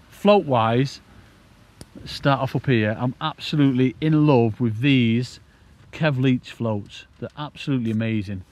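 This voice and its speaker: British man, casual enthusiastic fishing presenter. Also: medium pitch. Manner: enthusiastic, informal, rambling explanations. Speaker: angling presenter demonstrating a rig. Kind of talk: casual instructional chat to camera.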